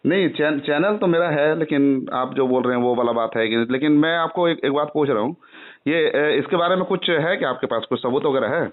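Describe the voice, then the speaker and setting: Tinny phone-line Indian man, insistent questioner. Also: fairly high pitch. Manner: insistent, halting, repeats himself. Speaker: channel creator, caller. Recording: tinny narrowband phone call.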